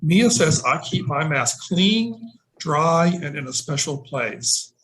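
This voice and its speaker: High-voiced man reading to young children. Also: expressive, slow, a bit bright. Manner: simple explanations and questions addressed to children. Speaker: nurse and guest storybook reader. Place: indoors on a video call. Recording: noisy video call with clicks.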